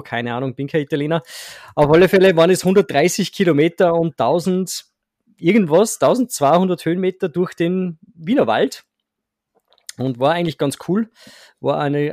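A man's voice: fairly high pitch, darkish tone, no room sound, clean studio sound. A man, a guest on a casual podcast, talking hesitantly with fillers and self-deprecating humor. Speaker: podcast guest, amateur cyclist.

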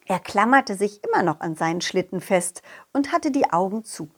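A woman voicing a confident, loyal dog who tells his adventures directly to children in an animated, childlike way. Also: mid-range voice, normal speed, breathy edge, voice pushed down. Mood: storytelling. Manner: childlike, animated, addresses young listeners directly. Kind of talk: children's audio story narration.

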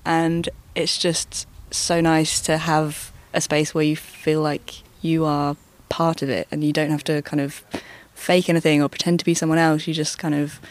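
A woman's voice: low voice, level tone, moderate pace, few pauses. A woman from Bristol giving a casual interview answer in an earnest, passionate way. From Bristol, England. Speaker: festival participant.

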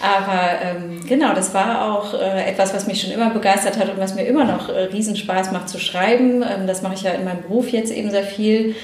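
A woman's voice: medium pitch, fairly even intonation, brisk, hardly any pauses, a little mellow. Thoughtful woman guest, echoey room. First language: German. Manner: thoughtful, fluent with frequent fillers. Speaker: guest, press spokesperson. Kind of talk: casual interview answers.

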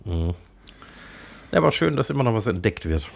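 A man with a cold and a blocked nose, his voice pitched fairly low. He co-hosts a podcast in casual chat and hesitates often with filler sounds. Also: sing-song, a little fast, vocal fry. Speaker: podcast co-host. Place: cold covered tent studio.

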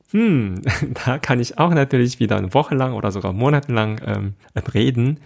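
A man with a Korean accent talks through personal questions, slow to settle on his words and often stopping to rethink them. His voice sits fairly low and carries a gravelly, creaky edge. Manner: reflective, hesitant, searching for words. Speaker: interviewee answering set questions. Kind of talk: casual personal talk.

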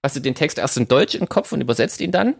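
A man's voice: medium pitch, lively intonation, quick pace, vocal fry, husky, no echo. Podcast host guy, jokey and teasing, reads out listener questions, dry close mic. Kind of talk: casual podcast chat.

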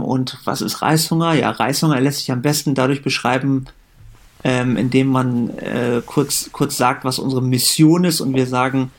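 A man in his late thirties from Germany, a company co-founder talking casually in a podcast interview, with many fillers and restarts. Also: medium pitch. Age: 30s. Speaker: company co-founder and managing director.

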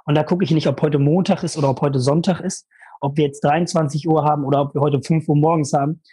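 A young man telling a lively personal story in a casual interview, full of fillers and emphasis. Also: fairly high pitch, fast talker, husky. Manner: energetic, animated storytelling with many fillers. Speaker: guest, former mastermind participant.

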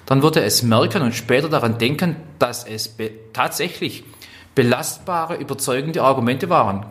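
A man with a Swabian German accent gives an instructional talk as a trainer, phrasing deliberately and stressing key words. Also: mid-range voice, a bit bright. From Swabia, southwest Germany. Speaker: trainer, speaker. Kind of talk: instructional talk on workplace skills.

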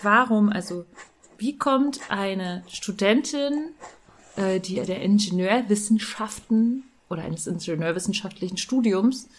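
A woman's voice: mid-range voice, measured pace. A woman hosting a podcast, chatty and teasing with her guest. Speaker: podcast host. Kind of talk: casual podcast interview.

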